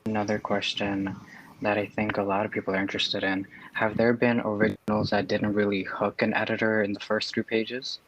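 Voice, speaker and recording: A man's voice: fairly low pitch, flat delivery, a little fast, fairly bright tone, lo-fi sound. A man moderating a casual question-and-answer session, passing questions along in an informal way. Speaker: moderator relaying audience questions.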